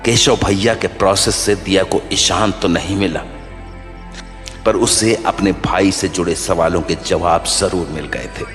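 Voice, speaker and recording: Deep-voiced male horror narrator in reverb. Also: fairly even intonation, measured pace, a bit bright. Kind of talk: dramatic scripted horror story narration. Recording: long reverb under prominent music.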